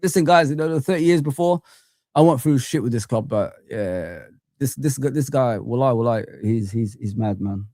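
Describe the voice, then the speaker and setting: A man's voice: medium pitch, normal speed, warm tone, no echo, studio quality. A young man hosting a live fan chat stream, ranting heatedly and repeating himself.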